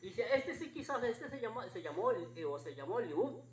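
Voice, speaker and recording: A preacher with a slightly husky voice gives an informal Bible sermon in a halting, repetitive, homespun way. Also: medium pitch, very animated. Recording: roomy echo with faint background noise.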